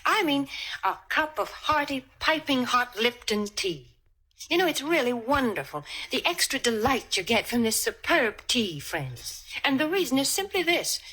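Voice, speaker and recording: Bright, lively woman selling on old radio. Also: high voice, normal speed, breathy edge, low-quality recording. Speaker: commercial announcer and co-host. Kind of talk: 1940s radio advertising pitch. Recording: vintage radio recording.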